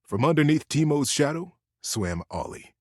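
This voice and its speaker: Video game teddy bear, male, gentle bedtime storyteller, hugely varied intonation, dry close sound, dramatic pauses. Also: a little high, very animated, no room sound. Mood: tender narrating.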